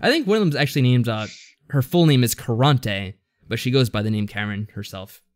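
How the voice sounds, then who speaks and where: A man's voice: medium pitch, lively intonation, a little fast, no echo, clean studio sound. Man giving a rambling, unsure recap. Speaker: podcast co-host. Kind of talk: casual chat recapping a visual novel story.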